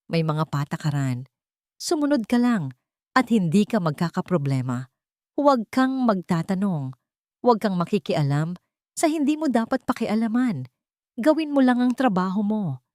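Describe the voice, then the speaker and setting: A woman's voice: mid-range voice, very animated, normal speed, pauses often, darkish tone, dry recording, pitched above the performer's own voice, natural voice. Audio drama: strict elderly housekeeper, acted by a woman, stony, commanding, unfeeling, dry recording. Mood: stern warning. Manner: hard as stone, commanding. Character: stern, emotionless old female head housekeeper.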